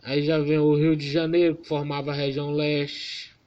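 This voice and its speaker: Male student teacher, relaxed and chatty, slightly high voice, muffled lo-fi recording. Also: moderate pace. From Maranhão, Brazil. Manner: informal, addresses class as "galera", self-correcting. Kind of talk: online geography class.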